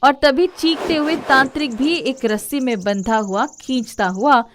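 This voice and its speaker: A woman's voice: high-pitched, measured pace, a little hiss or hum. A woman narrates a scripted fairy tale as a storyteller. Her delivery is that of a children's moral story, told in the third person.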